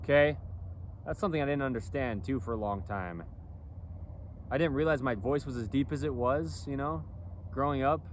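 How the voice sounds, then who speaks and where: A man talks straight to the camera outdoors in a loose, rambling way, checking in with the listener at nearly every turn. His voice sits at an ordinary middle pitch. Faint city noise hums behind him, with passing planes and sirens. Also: moderate pace, pauses often. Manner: rambling, keeps tagging "okay?" and "you know". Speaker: clothing brand vlogger. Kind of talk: casual outdoor monologue. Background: faint traffic, airplanes and sirens.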